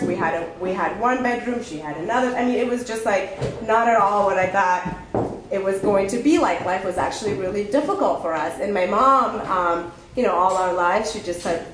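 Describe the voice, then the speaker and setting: A woman's voice: fairly low pitch, a little hiss or hum. Candid woman from Ethiopia, echoey room. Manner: halting, many fillers and restarts, candid. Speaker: speaker sharing her story at a recovery meeting. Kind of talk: unprepared personal testimony. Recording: echoey room with faint ambience.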